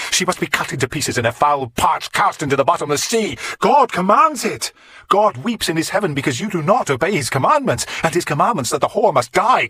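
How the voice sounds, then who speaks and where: A man's voice: fairly high pitch, no room sound, pitched above the performer's own voice, natural voice. A man voicing a frenzied blind old monk who howls and chants in a raving fit. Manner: shrieking, howling zealot chant.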